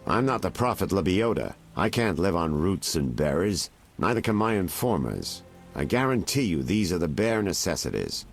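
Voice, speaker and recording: A man's voice: low voice, big pitch swings, moderate pace, soft background music. An actor playing a shrewd, mercenary male informant in a video game, haggling and insinuating in a wry way.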